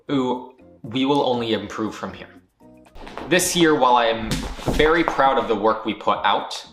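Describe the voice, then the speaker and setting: A man's voice: fairly low pitch, bright, forceful tone. A man who founded a studio gives a firm, earnest year-end recap, speaking directly to fans. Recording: roomy echo.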